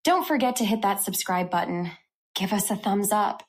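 A woman host narrating a scripted software review for a tech channel. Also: mid-range voice, lively intonation, moderate pace.

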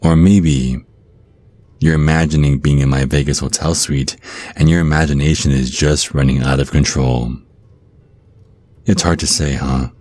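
A man's voice: very deep voice, fairly even intonation, normal speed, long pauses, darkish tone. A man playing a suave, predatory vampire stage magician in a hypnotic ASMR roleplay, taunting and domineering. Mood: teasing.

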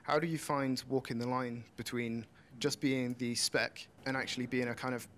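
A man's voice: flat delivery, moderate pace, a bit bright. Articulate man questioning a panel. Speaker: audience questioner. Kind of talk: long, considered question to a panel. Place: conference room audience. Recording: faint room rumble and noise.

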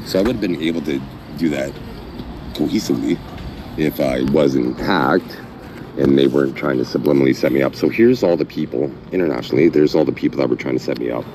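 A middle-aged man on his phone channel, halting and full of fillers, rambling and half-chanting lyrics he reads out. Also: very deep voice. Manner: halting, many fillers and restarts. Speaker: channel owner. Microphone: phone mic. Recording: noisy phone recording.

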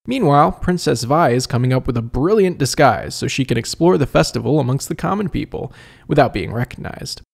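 A man narrating a scripted comedic review as host, in an expressive, wry and conversational manner. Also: mid-range voice, a little fast, vocal fry. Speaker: video essay host and reviewer.

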